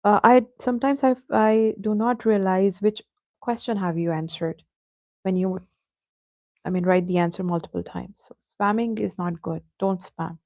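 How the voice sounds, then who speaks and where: Patient female teacher for an online class, gravelly voice, dry close sound. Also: mid-range voice, normal speed, warm tone, dry recording. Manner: patient, addresses students by name.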